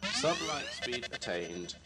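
A man's voice: mid-range voice, big pitch swings, slow. A man playing a curt, sarcastic spaceship captain in a science-fiction audio drama. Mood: stern, sarcastic. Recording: amateur 1980s drama tape with music.

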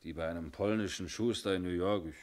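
Actor as deep-voiced, evasive barman. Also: quick pace, natural voice. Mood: resigned, confessing. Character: evasive barman under police questioning, cheeky, guarded. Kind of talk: German radio crime drama acting.

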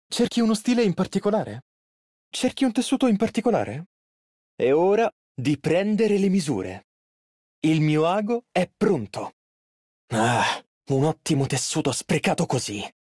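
A man's voice: on the high side, a little mellow, husky, dry recording, clean studio sound, full character voice. An actor playing a polite, earnest and easily flustered young male tailor in an Italian game dub. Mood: earnest, focused. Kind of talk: video game character voice lines, Italian dub.

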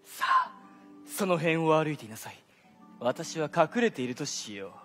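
A man's voice: a little high, big pitch swings, moderate pace, a bit bright. An actor playing a flowery, scheming king, pompous and grandly theatrical, in a comic stage play. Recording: stage recording with music.

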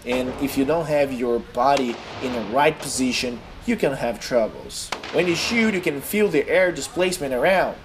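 A young man with a Brazilian accent narrates his vlog in earnest, slightly halting English. His voice sits at a middle pitch, and a faint noise runs underneath the recording. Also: lively intonation. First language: Portuguese. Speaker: gaming YouTuber. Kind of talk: casual voice-over vlog.

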